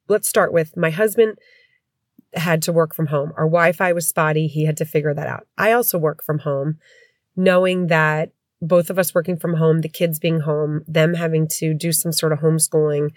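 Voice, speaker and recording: A woman's voice: sits low, steady tone, moderate pace, dry recording, clean studio sound. A middle-aged woman with a stuffy head cold, chatting candidly as a podcast host from home. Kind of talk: casual podcast chat.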